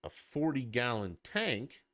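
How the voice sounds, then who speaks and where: A man's voice: medium pitch, sing-song, slow, fairly bright tone. A man hosting a podcast on his own, rambling and ranting with plenty of swearing. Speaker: podcast host. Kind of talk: casual solo podcast chat.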